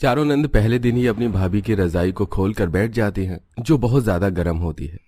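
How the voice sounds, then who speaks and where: A man's voice: lowish voice, fairly quick, dark tone, no echo, studio quality. A man narrating a scripted cartoon family story.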